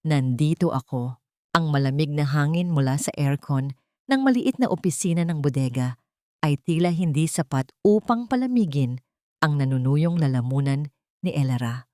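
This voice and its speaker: A woman's voice: low voice, animated, moderate pace, warm tone, dry recording, clean studio sound. A woman reading scripted dramatic story narration in a solemn manner.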